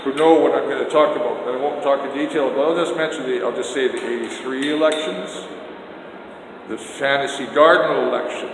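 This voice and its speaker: An older Canadian man vlogging about politics, talking loudly and emphatically, often indignant, and recording himself on a phone on a selfie stick. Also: medium pitch, a little fast. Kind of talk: rambling political vlog. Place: outdoors then indoor stairs and hallway. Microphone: handheld phone on a selfie stick. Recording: echoey hallway with long reverb and noise.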